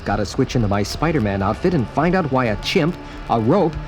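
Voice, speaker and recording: A man's voice: mid-range voice, animated, a little fast, lightly acted. An actor playing a young, wisecracking male superhero in a 1970s radio play, upbeat and quippy, talking to himself. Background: music score.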